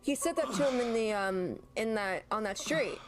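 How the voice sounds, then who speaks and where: A woman hosts a gaming reaction in casual, spontaneous commentary. Her voice is on the high side. The recording is clearly noisy, with game audio underneath. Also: lively intonation, normal speed.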